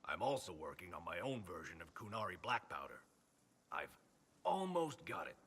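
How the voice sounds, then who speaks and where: A man's voice: low-pitched, sing-song, moderate pace, a bit bright, husky, big-room sound, heavily acted. An actor playing a scrappy, grumbling male dwarf explosives man in a video game, speaking offhand. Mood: boastful. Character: scrappy male dwarf sapper, cheerfully disgruntled. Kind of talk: video game character dialogue.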